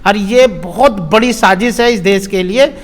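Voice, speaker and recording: A man's voice: high voice, unhurried. A man speaking as an interviewee in impassioned political commentary, with an emphatic, indignant, repetitive way of talking. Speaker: interviewee commenting on crime and government.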